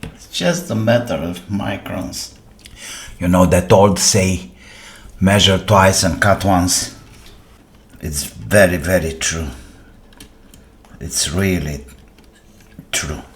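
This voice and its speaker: A man casually narrating a workbench repair, half muttering to himself in short phrases with pauses between them. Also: sits low. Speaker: electronics repair host.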